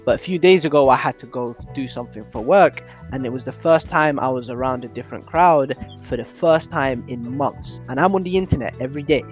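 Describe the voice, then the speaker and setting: A man who tells relaxed anecdotes and mixes in American slang on a casual podcast. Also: medium pitch, fairly quick, hardly any pauses, muffled recording, faint music behind. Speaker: podcast guest. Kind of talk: casual group chat.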